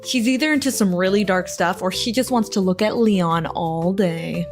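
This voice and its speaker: A woman's voice: medium pitch, moderate pace, faint music behind. A woman in a short social video, giving teasing, mock-serious warnings.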